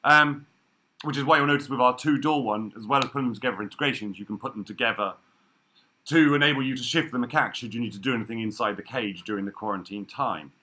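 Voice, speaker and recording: A man in his thirties giving a relaxed, chatty workshop talk over a video call from an office room, with frequent ums. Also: medium pitch, fast talker. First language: English. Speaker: workshop speaker. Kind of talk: casual presentation. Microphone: laptop webcam video call.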